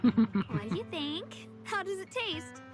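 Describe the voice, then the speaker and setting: An actress playing a sweet, earnest young woman who loves baking in a video game, gentle and eager to please. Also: very high voice, big pitch swings, slow pace, heavily acted. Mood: shyly hopeful. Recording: guitar music playing behind the voice.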